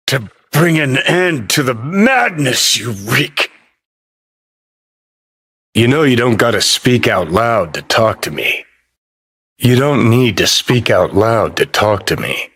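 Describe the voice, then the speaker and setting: An actor playing a cynical, brash rebel rocker in a video game, with a sardonic, profane and taunting manner. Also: male voice, medium pitch, bright, forceful tone, exaggerated voice. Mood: mocking.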